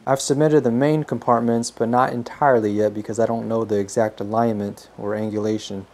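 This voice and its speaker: A man's voice: lowish voice, steady tone, a little fast, a little mellow. A man giving scripted how-to narration as a DIY host, in a plain-spoken, instructional manner.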